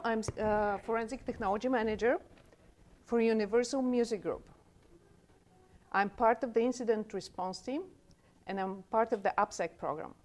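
A woman in her forties from Bulgaria with a Bulgarian accent, earnestly giving a conference talk at a podium mic in a small room. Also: mid-range voice. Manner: earnest, gestures with both hands. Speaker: conference speaker. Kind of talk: conference presentation.

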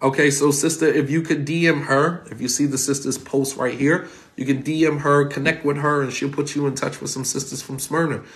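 A man in his forties gives an online class from his home, speaking straight into a phone camera at his desk. His voice sits fairly high for a man. He talks in a casual, animated way and drives points home by repeating them. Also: a little fast. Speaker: Islamic teacher. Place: home room, indoors.

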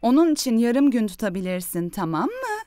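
A woman voicing a gentle, patient mother who explains things to young children in a cartoon dub. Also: a little high, very animated, brisk, music in the background.

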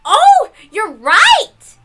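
A woman's voice: very high-pitched, wildly swooping pitch, very slow, loud music behind the voice, voice pushed up, heavily acted. Woman acting a squealing, teasing girlish witch. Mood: mock sympathy. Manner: exaggerated, teasing.

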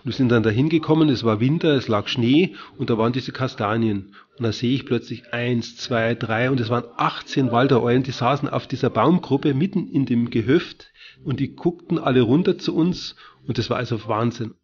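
A man's voice: mid-range voice, normal speed. A man, an ornithologist being interviewed, telling an anecdote in casual talk. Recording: slightly dull recording.

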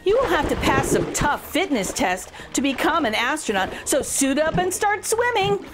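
A woman with a high voice reads a children's picture book aloud. Her intonation swings in a lively way, encouraging and emphatic as she speaks straight to young listeners. Faint music plays behind her. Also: moderate pace. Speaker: children's storytime reader.

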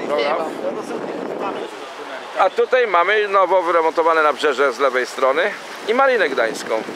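A man's voice: a little high, expressive, normal speed. Man narrating a boat tour. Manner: chatty, rambling with fillers and self-corrections. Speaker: amateur tour guide on a boat. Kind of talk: casual running commentary. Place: small boat on a city river, outdoors. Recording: loud wind and boat engine noise.

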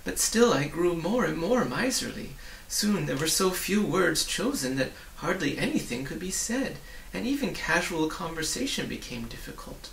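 A man voicing a sad, gentle old woman who speaks formally and wistfully, as in a storybook. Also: on the high side, very animated, normal speed, creaky, hardly any pauses, voice pushed up, full character voice. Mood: regretful. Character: sad, gentle old woman, a disgraced former royal official. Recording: roomy, distant mic, hissy.

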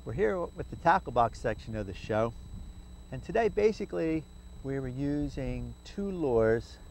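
A man's voice: mid-range voice, expressive, a little slow, creaky, a little mellow, a little hiss or hum, low-quality recording. A middle-aged man hosting a fishing tips segment, talking casually to camera from a boat outdoors with many "uh" fillers and restarts. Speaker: fishing show segment host. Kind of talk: casual instructional talk to camera. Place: outdoors, sitting in a boat on a river.

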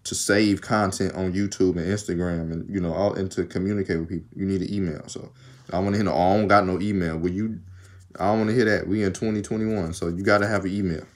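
A man's voice: sits low, steady tone, fast talker. A young man with a slight Southern accent, rambling casually to his phone camera in a small room, laughing often and a bit tired from undoing his braids. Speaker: vlogger. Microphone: phone camera at arm's length.

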